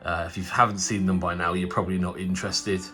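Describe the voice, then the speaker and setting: A man's voice: deep voice, level tone, fast talker, bright, forceful tone, soft background music. A man with a cold and a nasal voice, hosting a casual tabletop wargaming unboxing. He rambles chattily, full of fillers and restarts. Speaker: tabletop wargaming channel host.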